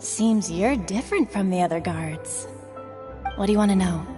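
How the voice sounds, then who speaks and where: A woman's voice: mid-range voice, normal speed, lightly acted. Woman acting guarded townswoman over game music. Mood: distrustful. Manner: guarded, dismissive. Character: wary female townsperson from a poor district.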